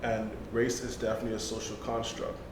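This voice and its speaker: A man's voice: level tone, brisk. A man speaking earnestly on a panel, building emphasis through repetition. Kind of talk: discussion. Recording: echoey room with low rumble.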